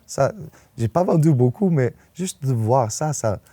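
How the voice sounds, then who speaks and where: A man's voice: medium pitch, expressive, measured pace, warm tone, no room sound. A man in his sixties with a Portuguese accent, a native Portuguese speaker, speaking conversationally as an interviewed writer and often repeating words as he searches for a phrase. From northern Portugal. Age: 60s. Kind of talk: conversational interview, personal anecdotes.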